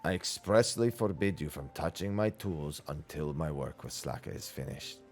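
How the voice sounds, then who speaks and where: Male player as older artisan, low register, measured earnest delivery, gruff yet fond, video game. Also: expressive, soft background music. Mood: firm. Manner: measured, formal, earnest phrasing. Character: gruff but fond older craftsman nearing retirement. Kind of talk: video game dialogue read aloud in character.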